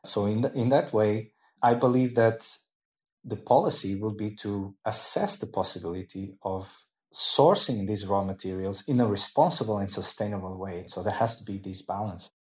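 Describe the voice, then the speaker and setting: A man giving measured expert answers in a panel discussion, with careful pauses. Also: on the low side, a little mellow. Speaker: expert guest.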